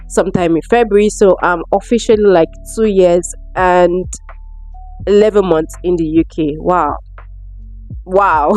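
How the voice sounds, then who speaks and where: A woman's voice: lowish voice, darkish tone. A young woman with a Nigerian accent chatting casually to camera as a vlogger, informal and given to emphatic repetition. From Lagos, Nigeria. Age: young adult. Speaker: vlogger answering viewer questions.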